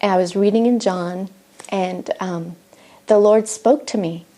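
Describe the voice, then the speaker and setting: A woman's voice: mid-range voice, fairly even intonation, a little slow, warm tone. A middle-aged woman from Argentina, a native Spanish speaker, telling her personal faith story in an earnest, reflective way with some restarts. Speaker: missionary. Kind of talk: personal testimony.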